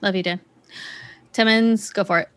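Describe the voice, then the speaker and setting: A woman's voice: medium pitch, steady tone, normal speed, fairly bright tone, clean tone. A woman hosting a casual panel chat in a conversational way. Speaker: host of a discussion show.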